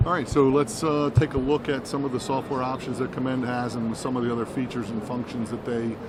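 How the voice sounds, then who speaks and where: A man's voice: medium pitch, little pitch movement, fairly quick. A man giving unscripted product demo commentary as an interviewer, explaining and rephrasing as he goes. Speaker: interviewer at a trade show. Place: indoor trade show booth. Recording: noisy trade show floor.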